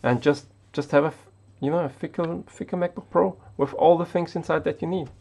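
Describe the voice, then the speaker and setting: Hesitant Austrian man with a gravelly voice. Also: on the high side, fairly even intonation, brisk, a little mellow. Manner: hesitant, many restarts and fillers. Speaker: tech YouTuber. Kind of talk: unscripted talk to camera.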